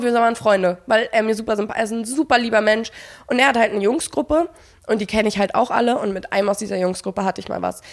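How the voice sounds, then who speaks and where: A young woman rattles through a personal story at a quick clip, her voice at an ordinary middle pitch. She slips into the quoted lines of the people in her story as she tells it, with plenty of fillers along the way. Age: young adult. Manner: fast, animated, acts out quoted dialogue, frequent fillers. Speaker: podcast co-host. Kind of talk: casual storytelling chat.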